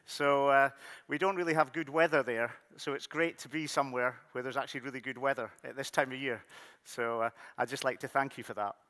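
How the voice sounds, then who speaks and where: A middle-aged man from the Scottish Highlands with a Scottish accent, giving a conference keynote in a friendly, loose way with frequent fillers. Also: steady tone, fairly quick, bright, forceful tone. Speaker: keynote speaker. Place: large conference hall. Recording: conference hall with long reverb.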